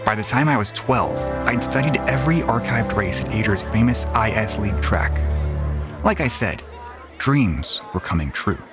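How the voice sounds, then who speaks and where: Low-voiced jaded young pilot narrating, acted by a man. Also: animated, moderate pace, lightly acted. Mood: wry, reflective. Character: cocky, jaded young antigravity racing pilot narrating his past. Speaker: narrator and lead actor. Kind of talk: first-person scripted audio drama narration. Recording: voice over prominent background music.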